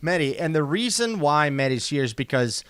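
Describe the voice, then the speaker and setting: A man hosting a casual chat, animated and quick to jump in with questions. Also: fairly high pitch, clean tone, no room sound. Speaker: host.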